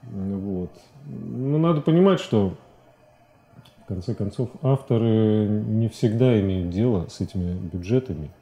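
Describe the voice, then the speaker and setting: A man in his forties talking as a guest in a studio interview, halting and repeating words as he searches for phrasing. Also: on the low side, expressive, gravelly, warm tone. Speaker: interview guest, animation director. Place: TV studio at a desk. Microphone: lapel mic.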